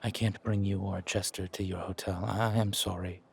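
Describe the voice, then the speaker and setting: A man playing a nervously polite, dutiful low-ranking driver in an audio roleplay, speaking hesitantly and with formal deference. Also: little pitch movement, normal speed, creaky, slight background noise. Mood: apologetic, firm.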